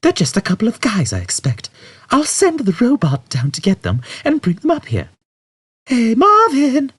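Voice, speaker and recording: Guy doing a bright patient space lady for a storybook, voice pitched way up, breathy, firm. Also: huge pitch swings, moderate pace, dry recording, exaggerated voice.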